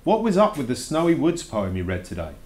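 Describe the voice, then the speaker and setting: A man's voice: mid-range voice, huge pitch swings, brisk, a little mellow, pitched above the performer's own voice. Man reading as a reluctant, grumbling schoolboy. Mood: grumbling, questioning. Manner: reluctant, questioning, emphatic. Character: reluctant schoolboy writing a grudging journal to his teacher. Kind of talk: dramatic read-aloud of a children's verse novel.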